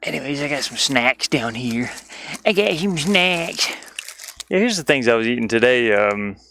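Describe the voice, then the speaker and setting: A man with a middling pitch talks casually, as if streaming to viewers while he works. His delivery is playful and rambling, and he wanders easily from one small aside to the next. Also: expressive, normal speed, vocal fry, pushed and bright, a little hiss or hum. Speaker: streamer and indie game developer. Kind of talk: casual livestream chat while working. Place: inside a van.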